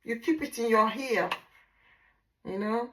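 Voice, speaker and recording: A Nigerian woman whose first language is Igbo, speaking English with a Nigerian accent as a language teacher in a casual vlog, drilling words slowly and repeating them. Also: a little high, background noise. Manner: repetitive drilling, slow deliberate pronunciation.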